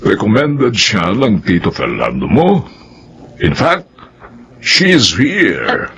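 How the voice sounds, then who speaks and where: An actor playing a gentle, wise older father in a radio drama, with a gravelly voice. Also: fairly low pitch, huge pitch swings, takes it slowly, heavily acted.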